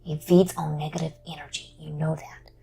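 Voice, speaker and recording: A woman voicing a worried, guilt-ridden young character in a fan audio drama, with halting delivery that slides into murmurs. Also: low-pitched, flat delivery, creaky, dark tone, breathy edge, soft background music, heavily acted. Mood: grim, hushed.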